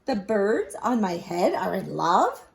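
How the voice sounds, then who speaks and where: A woman's voice: mid-range voice, wildly swooping pitch, takes it slowly, vocal fry, exaggerated voice. Woman acting a flustered, emphatic storybook animal. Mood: baffled. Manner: incredulous, emphatic questioning. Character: anxious, exasperated, easily flustered storybook animal friend.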